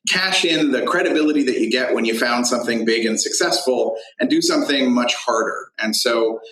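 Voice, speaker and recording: A man being interviewed as a tech founder, explaining his business in halting phrases with frequent pauses. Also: medium pitch, a bit bright, roomy sound, poor audio.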